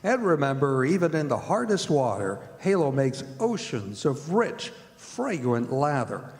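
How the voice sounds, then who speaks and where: A man's voice: medium pitch, very animated, measured pace, darkish tone. A man reading as an old-time radio announcer, with scripted delivery of ads and introductions. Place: performing arts center stage. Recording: hall reverb, music behind.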